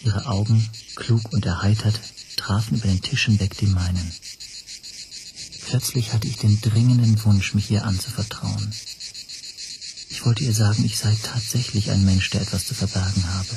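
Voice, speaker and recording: Low voice, measured narration, troubled guarded teacher, radio play, clear background ambience. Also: male voice, fairly even intonation, noisy background. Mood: troubled, reflective. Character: troubled Hungarian language teacher narrating his own story, guarded.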